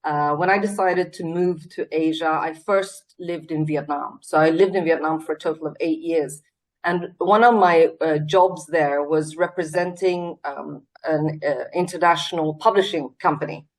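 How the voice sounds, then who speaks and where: A woman's voice: low voice, steady tone, normal speed, rough recording. A Swedish-born woman, a CEO, talking in a casual interview over a video call, earnest and reflective with many fillers and restarts. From Sweden.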